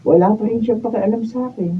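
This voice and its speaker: A woman's voice: fairly high pitch, fast talker, lightly acted. A woman with a Filipino accent acting a neglected, unhappy wife falling for a friend in a radio drama. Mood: aggrieved, chatty. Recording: dull raw video, distant mic, faint noise.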